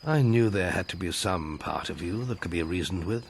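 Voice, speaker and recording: An actor playing a grave older guild master and disappointed mentor, solemn and earnest in a dramatic video game style. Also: male voice, low-pitched, animated, normal speed, creaky, a little hiss or hum. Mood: pleading, disappointed. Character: grave old male guildmaster, disappointed mentor pleading for peace.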